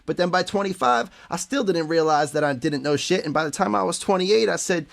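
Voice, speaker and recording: A man's voice: on the high side, fairly quick, vocal fry. A man in his late twenties hosting a casual podcast, musing in a laid-back, profane way. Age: late 20s. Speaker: podcast host. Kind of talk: casual chat.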